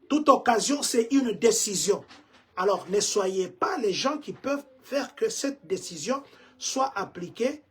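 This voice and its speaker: A man's voice: extremely high pitch, slightly rough. A man from Congo with a Congolese French accent, giving preachy commentary to his community and repeating himself with rhetorical questions. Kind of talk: vlog commentary to the diaspora. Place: Chicago.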